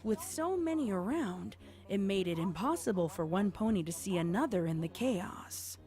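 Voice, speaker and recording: A woman's voice: medium pitch, lively intonation, moderate pace, mellow, faint music behind. A woman reading scripted story narration as the narrator of an audio tale.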